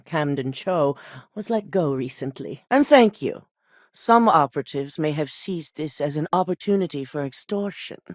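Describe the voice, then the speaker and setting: A stern female executive giving orders to her operatives, a cold and commanding boss. Her delivery is curt and businesslike, with a pitch that rises and falls expressively. The voice sits close and dry. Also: a little mellow, no echo, exaggerated voice. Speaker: corporate executive. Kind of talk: video game dialogue.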